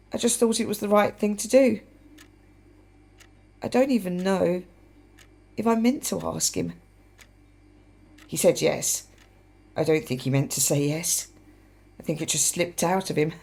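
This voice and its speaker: A woman's voice: fairly low pitch, unhurried, vocal fry, pauses often, warm tone. An actress playing a frank, wry, grieving woman in a naturalistic radio drama, speaking reflectively and repeating phrases for emphasis. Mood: subdued, reflective. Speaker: radio play actor.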